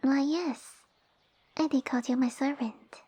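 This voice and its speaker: A woman voicing a small, sassy female lamia who rules her village, speaking softly and breathily with formal, imperious phrasing in an ASMR roleplay. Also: high voice, steady tone, slow pace. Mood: commanding, smug. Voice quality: soft, breathy, whispery. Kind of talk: ASMR roleplay audio addressed to the listener.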